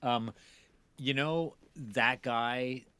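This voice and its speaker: A man in casual conversation as a co-host, talking in a halting, reflective, self-correcting way. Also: mid-range voice, pushed and bright, smooth. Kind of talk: casual conversation about audio mixing.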